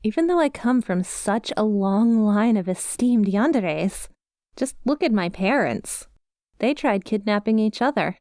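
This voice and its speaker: A woman's voice: medium pitch, animated, measured pace, darkish tone, dry recording, clean studio sound. A woman playing a bubbly, inept young yandere schoolgirl in an ASMR roleplay, chatty, melodramatic and wheedling as she talks to her crush. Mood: sheepish, chatty.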